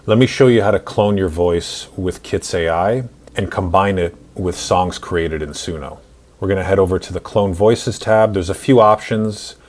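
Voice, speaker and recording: A man in his forties narrating a screen-recorded software tutorial in an instructional, step-by-step way. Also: low-pitched, normal speed. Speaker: tutorial host.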